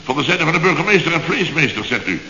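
An actor playing a flustered, excitable building contractor boss in a radio comedy serial, blustering indignantly and repeating himself. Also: male voice, fairly high pitch, fast talker. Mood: flustered, placating. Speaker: construction firm boss. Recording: hissy, dull, echoey old radio recording.

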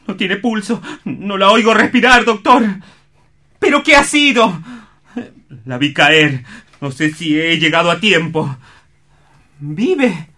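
Tormented brother, intense and animated. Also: male voice, high-pitched, slow pace, pauses often, exaggerated voice. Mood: frantic, pleading. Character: troubled, intense man tormented by brotherly rivalry. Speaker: radio drama actor. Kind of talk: melodramatic radio play acting.

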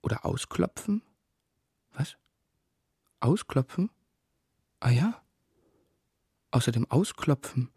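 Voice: mid-range voice, a little mellow, breathy edge, no room sound, clean studio sound, exaggerated voice. Eine Stimme aus einem absurden Hörspiel spielt einen grüblerischen, pedantischen Sprachspieler, der stockend Wörter wiederholt und hinterfragt. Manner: deliberate, halting, repeating and questioning words. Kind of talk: experimental absurdist German radio play, sound-poetry recitation.

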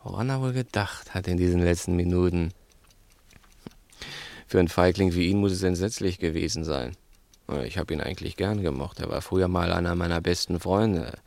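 An actor playing a brooding, taunting, talkative crook in a 1960s German radio play, musing theatrically and condescendingly. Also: male voice, low voice, normal speed, gravelly, a little mellow, no echo. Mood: brooding, puzzled.

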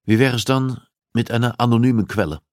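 Man voicing a cold, insistent investigator, pitched up. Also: no echo, clean studio sound, lightly acted. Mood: cool, insistent. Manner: commanding, deliberate, argumentative. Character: cold, insistent male criminal profiler and police investigator. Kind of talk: audiobook dialogue acting.

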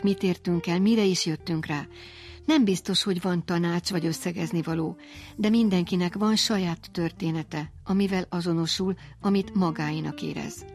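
A woman reads scripted programme links as a radio presenter. Her pitch sits a little low, and faint music plays behind her. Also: darkish tone. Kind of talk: scripted narration.

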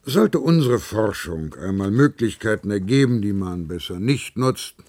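A male actor playing a blunt, patronizing older professor of medicine in a German radio play, with a husky voice. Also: fairly low pitch, animated, measured pace, a little mellow, dry recording. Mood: matter-of-fact, lecturing. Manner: patronizing, pragmatic, lecturing.

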